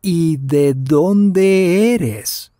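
A man's voice: on the high side, very slow, dark tone, dry recording, studio quality. A man voicing Daniel, a curious traveler in his twenties, in a scripted lesson dialogue with careful delivery. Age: 20s. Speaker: voice actor in language lesson dialogue. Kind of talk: scripted slow and normal-speed lesson dialogue.

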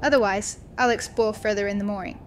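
A woman's voice: mid-range voice, fairly even intonation, a little fast, a little hiss or hum, natural voice. A woman playing a young student confiding a horror story to her video diary in a dramatic way. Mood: casual, tired. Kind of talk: first-person horror audio diary.

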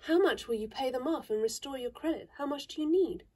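A woman voicing a kindly, sensible teenage older sister who speaks in a gentle, steady, motherly way. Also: high voice, a little fast, lightly acted.

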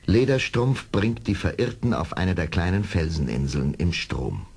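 An actor narrating an adventure radio play as its storyteller, with a husky voice and scripted delivery. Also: male voice, deep voice, animated, a little slow, a little mellow, full character voice. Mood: suspenseful. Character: male storyteller of an adventure tale.

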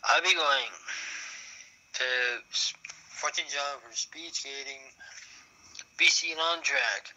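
A man's voice: a little high, slow, pauses often, pushed and bright, a little hiss or hum, rough recording. A man rambling casually over a walkie-talkie app, repeating himself, heard through a handheld radio speaker. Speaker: speed skater.

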